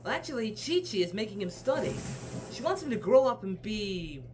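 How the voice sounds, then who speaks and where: A man acts out a naive, carefree martial-arts hero for an anime parody. The voice is high and swoops wildly, with clear noise and clicks behind it. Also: sing-song, brisk. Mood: clueless. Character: cheerful, dim-witted, childlike adult martial-arts hero. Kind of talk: comedic anime parody dub acting. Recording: noisy audio with clicks.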